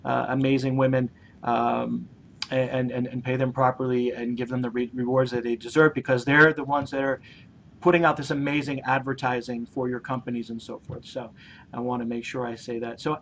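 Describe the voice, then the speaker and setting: A man's voice: medium pitch, fairly even intonation, a little fast, slight background noise, low-quality recording. A man hosting a conversational interview, rambling and repeating words as he works toward his questions.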